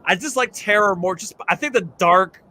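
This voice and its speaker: A high, bright-voiced man hosts a casual podcast chat, rambling excitedly with frequent restarts. A faint hiss sits under his voice. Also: moderate pace. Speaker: podcast host.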